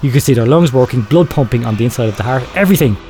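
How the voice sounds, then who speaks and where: A man playing a grave researcher, telling a horror confession in a measured, clinical and ominous way. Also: medium pitch, animated, mellow, soft background music, close to an everyday voice. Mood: clinical dread. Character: grave male researcher confessing secret horrific experiments. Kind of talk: dramatic horror storytelling, read aloud.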